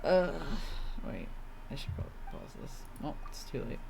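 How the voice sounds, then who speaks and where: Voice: mid-range voice, very animated, moderate pace, gravelly, darkish tone, slight background noise. A game streamer giving casual, grumbling commentary with offhand swearing while playing. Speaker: livestreamer playing a video game.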